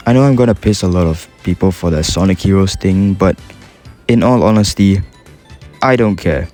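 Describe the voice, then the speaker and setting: A man's voice: sits low, darkish tone. A young man with a Singaporean accent, giving an enthusiastic, casual commentary voice-over with slang and swearing. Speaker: YouTuber ranking game music. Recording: faint music behind the voice.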